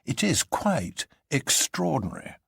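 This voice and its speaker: A man voicing an excitable young poet in a story, improvising with nervous, hesitant bravado. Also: mid-range voice, a little slow, creaky, husky, dry recording, clean studio sound, voice pushed up, full character voice. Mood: insistent. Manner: hesitant, improvised delivery with ums and repeats.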